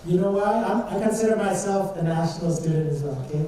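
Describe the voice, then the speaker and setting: Young man from Trinidad, high-voiced and mellow, giving an earnest student sermon; recorded from far off in a very echoey church. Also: fast talker. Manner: earnest, conversational preaching with rhetorical questions. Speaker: student speaker at church service. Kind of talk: informal sermon. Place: church sanctuary. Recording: distant and very echoey church hall, faint noise.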